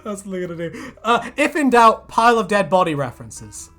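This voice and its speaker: A woman's voice: medium pitch, big pitch swings, a little fast, a bit bright. A woman co-hosting a casual reaction chat about fan predictions, with a jokey, sweary, giggly manner. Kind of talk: casual banter commentary. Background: music.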